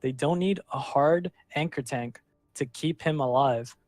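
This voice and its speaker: A man casting a live online match, calling the action and filling pauses with rambling, self-deprecating asides. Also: medium pitch, a little mellow, no room sound, studio quality, soft background music. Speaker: esports caster. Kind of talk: live game commentary.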